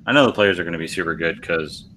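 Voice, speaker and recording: Bright-toned low American man. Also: quick pace, a little hiss or hum. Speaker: podcast co-host. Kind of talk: casual chat.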